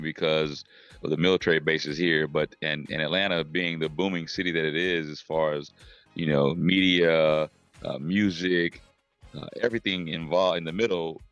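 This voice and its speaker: A middle-aged man with a very low voice, raspy and hoarse from yelling at a game. He talks in a casual, chatty way as a podcast co-host. The recording sounds dry and a little dull. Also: no room sound. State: hoarse, losing his voice. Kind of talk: casual sports chat.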